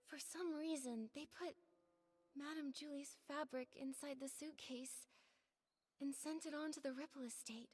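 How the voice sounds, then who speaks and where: A young adventurer heroine from a video game. Her voice is high and gentle, and she reasons things through in an earnest, thoughtful way.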